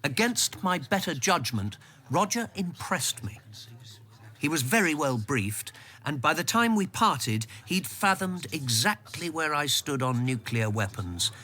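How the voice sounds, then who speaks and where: An actor playing a reserved, careful English civil servant who also narrates, in a radio drama. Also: male voice, fairly high pitch, very animated, measured pace, pushed and bright, full character voice. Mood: reflective. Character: guarded, principled British civil servant and narrator.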